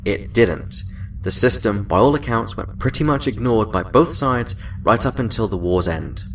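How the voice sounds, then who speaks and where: An actor playing a genial senior admiral in a science fiction audio drama, measured and formal, sounding a little away from the mic. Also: male voice, on the low side, expressive, fairly bright tone, far from the mic, a little hiss or hum. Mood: formal, briefing. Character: genial, senior male admiral, chatty then businesslike.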